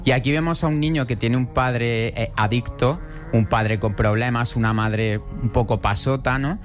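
A man's voice: mid-range voice, normal speed, faint music behind. A man working as a film critic on a radio show, talking conversationally about films.

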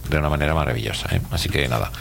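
A middle-aged man with a Castilian Spanish accent and a low, gravelly voice hosts a casual radio interview. He talks in a chatty, rambling way and often tags his phrases with a question. There is faint noise behind him. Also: very fast, fairly bright tone. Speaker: radio host interviewing schoolchildren.

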